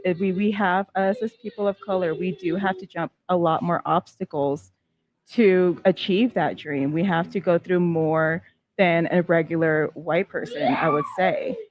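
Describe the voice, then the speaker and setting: A woman's voice: fairly low pitch, animated, moderate pace. A woman from California, an activist guest in a casual interview chat, earnest and passionate, laughing often.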